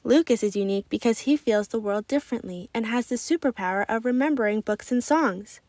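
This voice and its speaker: A woman reading a children's book aloud in an expressive, animated storytelling style. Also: on the high side, a little fast, dry recording. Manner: animated, acts out children's dialogue.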